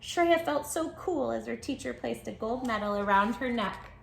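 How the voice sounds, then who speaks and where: A woman's voice: on the high side, lively intonation, moderate pace, gravelly, slight background noise, poor audio. A woman hosting a children's storytime, reading aloud expressively with dramatic emphasis and speaking directly to young listeners. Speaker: children's book read-aloud host. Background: music.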